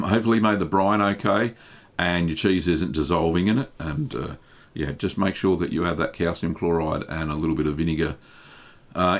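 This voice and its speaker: Low-voiced host, easygoing live-stream Q&A, lots of ums. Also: male voice. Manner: relaxed, chatty, many ums and uhs, light laughs. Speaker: cheesemaking channel host. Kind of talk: live-stream Q&A answering chat questions.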